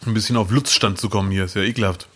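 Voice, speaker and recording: A man's voice: lowish voice, fairly even intonation, racing, fairly bright tone, breathy edge. A man co-hosting a podcast in casual chat, joking and self-mocking, often laughing between remarks. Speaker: podcast co-host.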